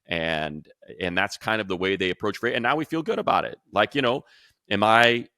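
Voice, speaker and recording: A man's voice: on the low side, moderate pace, sharp tone, dry recording, studio quality. A man speaking as a sports show host, giving casual, conversational analysis in an emphatic, rambling way.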